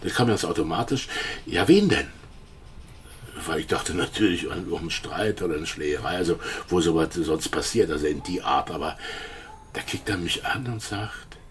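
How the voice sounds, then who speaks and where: An actor playing a shady, evasive informant in a radio drama, with a halting, hemming way of talking. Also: male voice, deep voice, a little hiss or hum, lightly acted. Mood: shifty, confiding. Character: shady, evasive pub-going male informant, eager and shifty. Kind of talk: naturalistic German radio drama acting.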